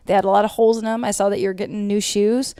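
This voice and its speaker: Loud, animated young American woman podcaster. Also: mid-range voice, fast talker, smooth, no room sound, studio quality. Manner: animated storytelling, swears freely. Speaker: podcast co-host. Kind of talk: casual unscripted podcast chat.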